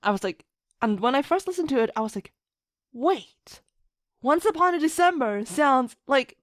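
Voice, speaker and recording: A woman chatting casually as a podcast guest, telling stories in an animated way and acting out quotes. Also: high voice, normal speed, dry recording, clean studio sound. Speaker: podcast guest, fan musician and writer. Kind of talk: casual chat.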